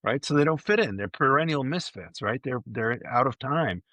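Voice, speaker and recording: A man speaks as a podcast panelist in a loose, rambling and enthusiastic way. His pitch sits in the middle range. The sound is dry and free of echo. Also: animated, moderate pace, a bit bright. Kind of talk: casual chat.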